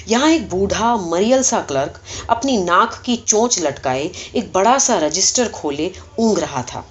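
A woman reading a short story aloud as expressive, scripted audiobook narration. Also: mid-range voice. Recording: dull, muffled sound.

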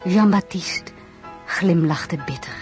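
An actress playing a reflective young wife and mother, narrating her life in a measured, intimate way in a radio drama. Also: lowish voice, faint music behind.

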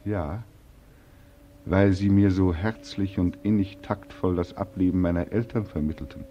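An actor playing a bitter, despairing young war veteran in a 1940s radio drama, brooding and accusing in manner. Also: male voice, deep voice, steady tone, moderate pace, muffled recording, a little hiss or hum, close to an everyday voice. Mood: accusing, sardonic.

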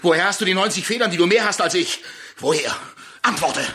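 An actor playing a sullen, suspicious jungle hunter with brooding, accusing delivery in a German radio play. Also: male voice, sits high, animated, a little fast, pushed and bright, a little hiss or hum, full character voice. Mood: angry, accusing.

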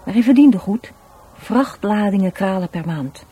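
Mellow, husky, guarded Dutch woman. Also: mid-range voice, animated, unhurried. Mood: reserved, guarded. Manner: hesitant, repeats words, evasive. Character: shy, guarded, educated sister of a dead man. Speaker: actress in a radio drama. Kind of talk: radio drama dialogue.

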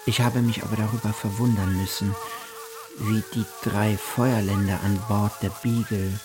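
An actor playing a brooding nineteenth-century naturalist recounting his voyage in measured, declamatory phrases for a radio drama. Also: fairly low pitch, flat delivery, music in the background, close to an everyday voice. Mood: lecturing. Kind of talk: literary radio drama monologue over music.

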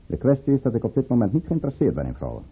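An actor playing a commanding, guarded count and governor in an old-fashioned radio drama, with a formal, deliberate, urbane delivery. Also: male voice, mid-range voice, huge pitch swings, breathy edge, full character voice. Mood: coolly guarded. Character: commanding, guarded male count and governor, coolly courteous schemer. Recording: muffled, phone-like old radio recording.

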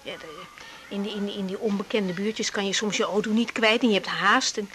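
A woman's voice: medium pitch, a little fast, background noise, natural voice. An actress playing a composed, direct young woman doctor in a naturalistic radio drama. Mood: confiding. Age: young adult.

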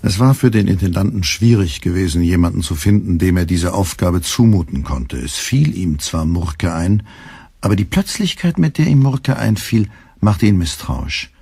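Deep, husky male narrator. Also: no echo. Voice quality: calm, measured storytelling. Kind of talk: scripted literary narration.

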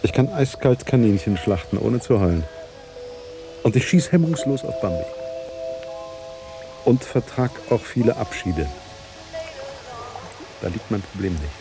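An actor playing a frustrated, self-mocking journalist in a German radio drama, with a wry, probing manner. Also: male voice, on the low side, expressive, measured pace, gravelly, long pauses, music in the background. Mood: wry, brooding.

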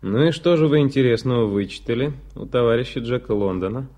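An actor playing a kindly, wry hospital doctor in a radio drama, bantering gently, reassuring but firm. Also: male voice, medium pitch, fast talker, lo-fi sound, lightly acted. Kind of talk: 1980s Soviet radio drama acting.

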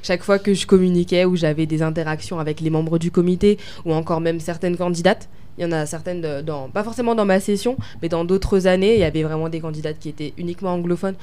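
A woman's voice: on the low side, fast, few pauses, warm tone, clean tone. Hesitant young woman answering questions. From France. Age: young adult. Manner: hesitant, many fillers and restarts. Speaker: pageant winner, interviewee. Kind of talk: interview answers.

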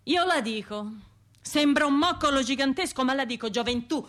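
An actress playing a tormented, bitterly wry Florentine bomb survivor in a radio drama monologue, with a Tuscan accent and colloquial, brooding self-talk. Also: female voice, sits high, animated, normal speed, fairly bright tone. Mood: defiant. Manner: brooding colloquial self-talk, repeating words, addressing herself and imagined listeners.

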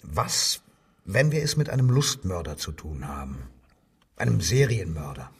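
Casting: wartime police interrogator, male, husky, sardonic and insistent, radio crime drama, a little off the mic. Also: sits low, big pitch swings, unhurried, far from the mic, heavily acted. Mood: mocking, needling. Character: male wartime German police detective, needling, coldly insistent. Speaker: actor.

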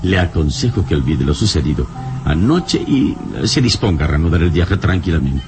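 Calm heroic adventurer from an old radio serial, very low voice that swings a lot, music behind. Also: male voice. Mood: reassuring. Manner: measured, deliberate, dramatic pauses. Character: calm, commanding male adventure hero, reassuring and authoritative.

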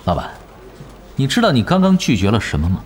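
A man's voice: medium pitch, huge pitch swings, quick pace. A man playing a laid-back, cocky young veteran gamer in an audio drama, casual, teasing and self-assured. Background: music behind the voice.

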